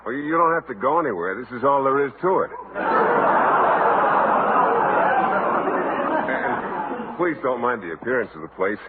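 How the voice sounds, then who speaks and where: An actor playing a shy, earnest bachelor teacher with a hesitant, polite manner in a 1950s radio comedy. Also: male voice, mid-range voice, huge pitch swings, slow pace, pauses often, phone-quality sound, over a noisy crowd. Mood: bashful, friendly. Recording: noisy old radio recording, studio audience.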